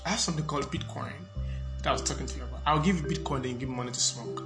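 Halting young Ghanaian man over music. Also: medium pitch. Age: young adult. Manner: halting, repeats words. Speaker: podcast host. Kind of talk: casual personal storytelling to listeners.

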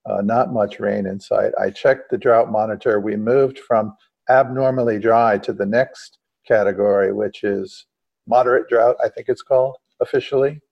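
A low-voiced American man discusses environmental news as a podcast co-host, with a gravelly, husky delivery. He qualifies and corrects himself as he goes. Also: fairly even intonation, normal speed. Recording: dull, muffled lo-fi audio.

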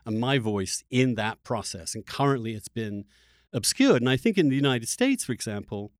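A man from England talks as a podcast host in a dry, close acoustic. His voice is medium in pitch, and he speaks reflectively and haltingly, doubling back on words as he thinks aloud. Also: very animated, no echo. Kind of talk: conversational political discussion.

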